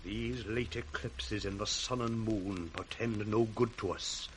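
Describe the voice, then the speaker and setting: An actor with a British accent playing an aging, credulous, troubled nobleman in a portentous, halting 1960s radio Shakespeare style. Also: fairly low pitch, steady tone, lightly acted. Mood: foreboding. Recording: faintly hissy 1960s radio recording.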